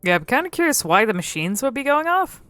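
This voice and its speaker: A woman giving casual, musing commentary on a game, often pausing and repeating words as she thinks. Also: sits high, big pitch swings, pushed and bright, dry recording, faint music behind. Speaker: video creator commentating on a game.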